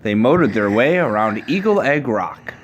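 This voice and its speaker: A man reads a rhyming picture book aloud as a father would to his child. His pitch is average and his delivery measured, with some background noise on the home recording. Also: sing-song, lo-fi sound.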